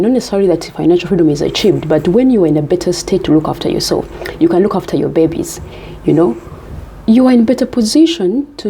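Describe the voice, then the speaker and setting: Mellow husky woman. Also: fairly low pitch. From Uganda. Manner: earnest, emphatic, repeats key points. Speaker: vlogger giving life advice. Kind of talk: advice monologue to camera.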